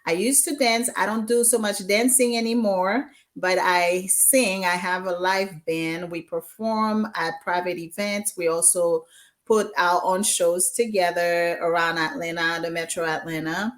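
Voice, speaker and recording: Slow-talking Haitian woman in her forties. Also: lowish voice, steady tone. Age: 40s. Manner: warm, chatty, mixes English with Haitian Creole, many ums. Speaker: guest. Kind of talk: casual interview chat.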